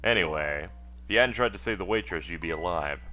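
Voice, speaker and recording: A man's voice: deep voice, a little fast, bright, forceful tone, soft background music, voice pushed down. A man voicing a wry, cool-headed ghost from a video game. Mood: teasing. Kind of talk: video game character dub in a let's play.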